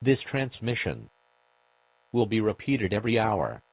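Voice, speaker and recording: A man delivering a formal scripted emergency broadcast as an announcer, solemn, measured and authoritative. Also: fairly low pitch, sing-song, vocal fry, no echo. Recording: dull lo-fi broadcast audio.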